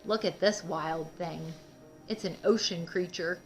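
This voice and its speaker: Lady doing a kids book read aloud, slow and clear simple talk, expressive, bit of music behind. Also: on the low side. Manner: asks young listeners questions, explains simply; emphatic, expressive reading. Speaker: children's read-aloud host. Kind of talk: picture book storytelling.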